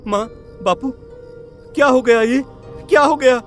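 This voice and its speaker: A man playing a distressed but determined young village man, earnest and halting, in a horror cartoon story. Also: very high-pitched, faint music behind. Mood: shocked. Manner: earnest, hesitant repetitions.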